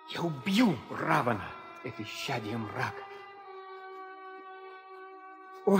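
An actor playing a noble, devoted young warrior prince, declaiming fervently in an old theatrical audio drama. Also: male voice, on the high side. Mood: anguished, vowing. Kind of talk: 1960s Soviet theatrical audio drama acting. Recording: old reverberant studio recording with music.

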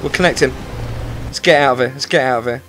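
A British man doing casual, excitable let's play commentary, often repeating himself, with a video game behind him. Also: mid-range voice, fast, background noise. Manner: excitable, repetitive exclamations. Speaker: gaming commentator. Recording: loud game music and machinery behind. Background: video game music and action sounds.

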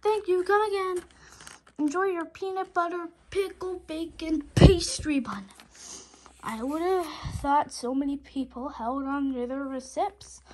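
Female reader as an excitable high-pitched alligator detective. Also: a little slow, slight background noise, rough recording. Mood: loud, exasperated. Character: excitable cartoon alligator detective, eager and bold.

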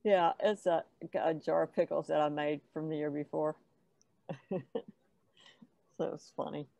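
Older female artist in casual chat: gravelly voice, close dry sound. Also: low voice, fairly even intonation, unhurried, mellow, no echo, dull audio. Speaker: painter showing her work. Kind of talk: casual chat about her paintings. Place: dim indoor room on a video call. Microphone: wired earbuds.

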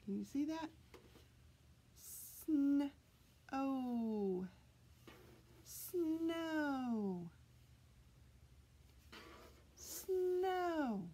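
Casting: woman, middle-aged, children's story-time reader, high voice, very expressive pitch, careful enunciation. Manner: deliberate, animated, exaggerated enunciation for children. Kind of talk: expressive picture-book read-aloud for young children. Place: small library children's room, indoors.

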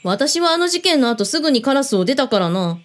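An actress plays a shrewd female ex-agent with a fairly high, very animated voice. She sounds skeptical and probing, using terse, mannish phrasing in radio drama style. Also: fairly quick. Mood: wry, probing. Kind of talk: Japanese radio drama acting.

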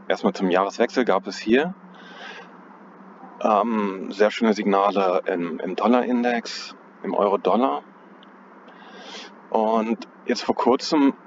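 Man musing over charts outdoors, lo-fi. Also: fairly low pitch. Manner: thinking aloud, hesitant, self-correcting, chuckles at himself. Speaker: trading analyst. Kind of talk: casual chart analysis commentary. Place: outdoors on a cold day. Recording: dull lo-fi audio with outdoor noise.

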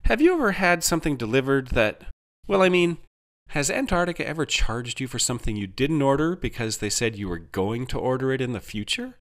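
A man's voice: mid-range voice, sing-song, fairly quick, voice pushed up. A man voicing a perplexed, exasperated ordinary man in an audiobook story, hesitant and protesting. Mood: anxious.

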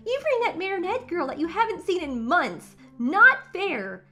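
A woman voicing a jealous, spiteful teenage supervillain in a fan comic dub, forceful and threatening. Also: very high-pitched, very animated, normal speed, soft background music, exaggerated voice. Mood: resentful.

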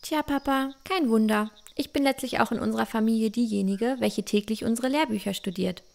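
Woman playing a creaky-voiced, clever village girl. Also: on the high side, brisk. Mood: smug, explaining. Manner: self-assured, bookish. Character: clever, lively village girl, confident and cheeky. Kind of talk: fantasy audio drama acting.

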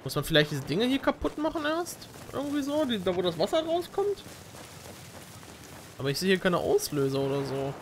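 Male let's-player, slightly high voice, colloquial co-op chat, faint gunfire and game ambience behind. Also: huge pitch swings, fairly quick, a little hiss or hum. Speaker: video game commentator. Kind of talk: casual gaming chat with a co-player. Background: video game gunfire and ambience.

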